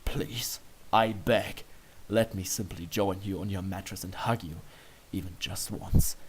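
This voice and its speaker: A man's voice: deep voice, fairly even intonation, measured pace, background noise, voice pushed down. A man voicing a polite pony royal guard in a story reading, formal and theatrically earnest, a little flustered. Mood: earnest pleading.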